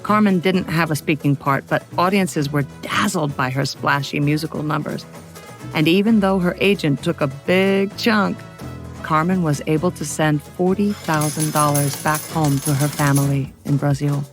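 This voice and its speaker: A woman reading a scripted biography for children as a narrator, with expressive, dramatic storytelling. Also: deep voice, normal speed, soft background music.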